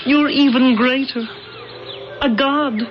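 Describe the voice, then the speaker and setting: A woman playing a solemn, unyielding island priestess with a measured, declamatory delivery in a 1980s radio drama. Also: sits high. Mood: stern, insistent. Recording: dull, noisy old radio broadcast.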